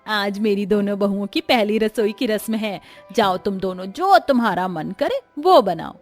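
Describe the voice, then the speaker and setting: A woman's voice: mid-range voice, expressive, moderate pace, a little mellow, soft background music, natural voice. A woman voicing a fretful, affectionate middle-aged village mother-in-law in a Hindi cartoon story, theatrical and exclaiming. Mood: welcoming.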